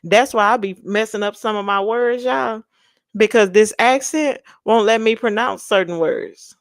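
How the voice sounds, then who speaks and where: A woman's voice: medium pitch, measured pace, no echo, studio quality. Sassy Texas woman with Louisiana twang. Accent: Louisiana-tinged Texas. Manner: sassy, blunt, laughing, slangy storytelling. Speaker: livestream host. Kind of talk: casual chat with live viewers.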